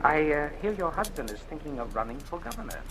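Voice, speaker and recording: An actor playing a smooth, sleazy former insurance investigator who blackmails a woman, talking in an oily, taunting drawl, in 1940s radio drama style. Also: fairly high pitch, sing-song, fast, noisy background.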